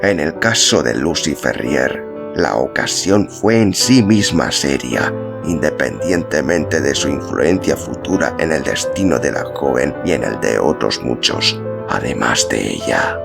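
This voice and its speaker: A man's voice: very deep voice, expressive, a little slow, creaky, loud music behind the voice. A man narrating a literary story as an audiobook, reading from a script.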